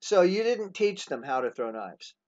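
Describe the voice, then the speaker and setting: High-voiced man hosting, muffled audio. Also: sing-song, a little mellow. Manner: halting, many fillers and restarts. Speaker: host. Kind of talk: casual interview. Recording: dull lo-fi sound.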